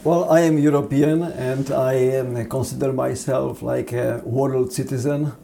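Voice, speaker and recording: A man with a Czech accent answering interview questions on theology in a halting, earnest, preacherly manner. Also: medium pitch, steady tone, vocal fry, dark tone, slight background noise. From Czech Republic. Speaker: seminary dean, interview guest.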